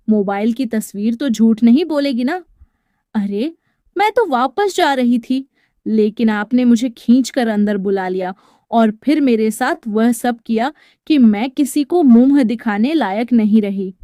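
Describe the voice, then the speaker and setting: Woman voicing a high-pitched scheming young housemaid. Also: lively intonation, unhurried, mellow, no echo, clean studio sound. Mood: accusing. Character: scheming young housemaid, coy, falsely wronged, pleading.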